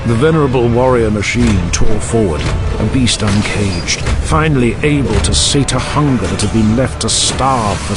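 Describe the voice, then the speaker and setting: Lowish-voiced man narrating dramatically over loud music. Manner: theatrical, emphatic, intense.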